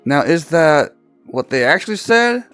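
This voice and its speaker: A man's voice: a little high, big pitch swings, unhurried, a bit bright, clean tone, soft background music. A young man, just woken up and groggy, casually telling a personal story as a vlogger, laughing often and restarting his words. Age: young adult.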